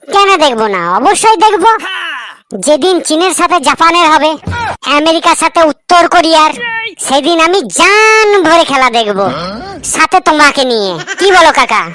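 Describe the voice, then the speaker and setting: Cheeky young nephew in a comedy cartoon, played by a woman: very high, bright, mock-earnest chatterbox. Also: animated, normal speed, heavily acted. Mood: teasing. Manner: teasing, long-winded mock explanations. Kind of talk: comic cartoon dialogue.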